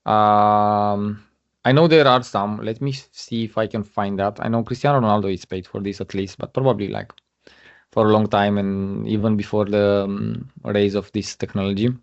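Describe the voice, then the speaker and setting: Halting man presenting over a muffled call. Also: on the low side, fairly even intonation, creaky. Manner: halting, many fillers and restarts. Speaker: guest speaker. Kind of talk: webinar presentation. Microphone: video call. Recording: muffled lo-fi online call audio.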